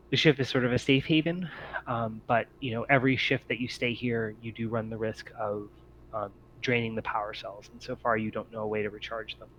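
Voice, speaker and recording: A man's voice: mid-range voice, a bit bright, highs cut off, slight background noise. A man narrating a tabletop role-playing game as game master, explaining things informally.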